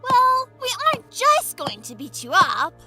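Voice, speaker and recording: A woman's voice: very high-pitched, huge pitch swings, measured pace, over loud music, full character voice. A woman voicing a cocky, sadistic young female cartoon pony villain, taunting, in an amateur audio story. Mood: mocking. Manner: taunting, menacing.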